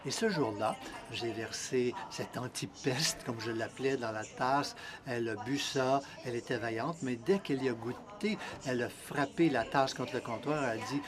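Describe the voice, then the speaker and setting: A man's voice: medium pitch, moderate pace, crowd noise. Man interpreting a live seminar talk. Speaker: interpreter and emcee.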